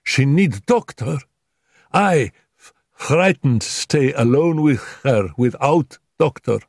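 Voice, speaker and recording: A man's voice: medium pitch, lively intonation, slow, darkish tone, no room sound, clean studio sound, voice pushed up. A man voicing a frightened, indignant young maid who speaks broken English, in an audiobook reading. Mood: frightened, insistent. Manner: broken English, urgent pleading.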